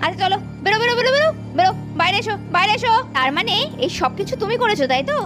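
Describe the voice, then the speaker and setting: High fast-talking woman storyteller. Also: big pitch swings. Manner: scolding, shifts between narration and character voices. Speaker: narrator voicing several characters. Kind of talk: dramatic cartoon storytelling. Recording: music underneath.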